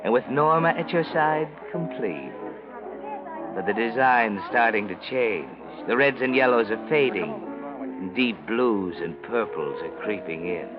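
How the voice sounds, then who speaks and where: Sinister all-knowing storyteller, dramatic and slow-building, dull old 1940s radio sound, low voice. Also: male voice, lively intonation. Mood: sly, taunting. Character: sinister, omniscient male storyteller who walks by night. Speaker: narrator of a mystery drama. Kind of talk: 1940s radio mystery narration. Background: loud dramatic music.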